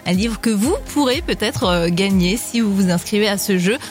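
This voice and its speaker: A woman's voice: medium pitch, lively intonation, moderate pace, faint music behind. A woman co-hosting a morning radio show, cheerful, with frequent fillers and easy laughter.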